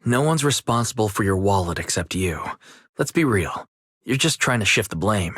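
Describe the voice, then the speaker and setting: A man's voice: fairly low pitch, animated, normal speed, a bit bright, slightly rough, no echo. An actor playing a cool-headed, defiant young man in a video game, with a blunt, casual way of talking.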